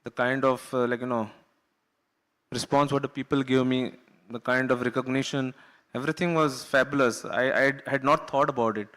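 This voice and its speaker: A man's voice: mid-range voice, steady tone, moderate pace, fairly bright tone. A man with an Indian English accent, a former cricketer from Goa, recalling his career on a panel in an earnest, chatty way. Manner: earnest, uses filler "you know", laughs while telling stories. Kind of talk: panel discussion anecdote. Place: conference hall.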